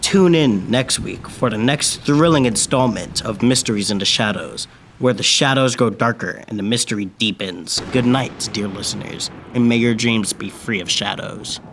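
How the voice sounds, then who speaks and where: Old-time radio storyteller, acted by a young man: mysterious, formal, deeper male voice, noisy ambient recording. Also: moderate pace, a bit bright. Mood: ominous. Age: young adult. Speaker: narrator.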